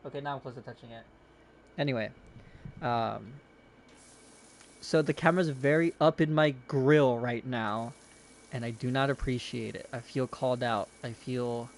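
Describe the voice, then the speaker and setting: A man's voice: mid-range voice, a little hiss or hum. Chatty young man rambling on stream. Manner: chatty, self-deprecating, rambling with restarts. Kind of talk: casual rambling commentary while gaming. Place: his bedroom.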